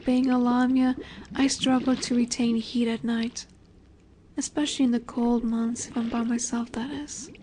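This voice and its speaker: A woman voicing a nurturing, gently commanding lamia in an intimate fantasy roleplay audio, soft and affectionate. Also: fairly high pitch, flat delivery, moderate pace, slight background noise, natural voice. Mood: affectionate, reassuring. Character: dominant, nurturing female lamia, a serpent monster girl. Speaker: voice actress.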